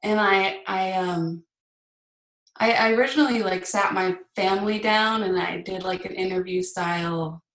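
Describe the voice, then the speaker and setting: A woman's voice: mid-range voice, normal speed, fairly bright tone, echoey room. A young woman guest telling a story in casual chat, with restarts and small laughs, recorded over a remote call. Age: young adult.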